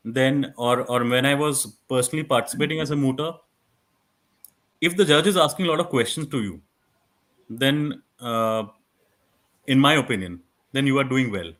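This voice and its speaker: A man with an Indian accent and a loud voice, explaining things conversationally as a guest expert, often restarting his phrases. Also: medium pitch. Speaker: guest expert on moot court. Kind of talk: conversational advice in an online workshop.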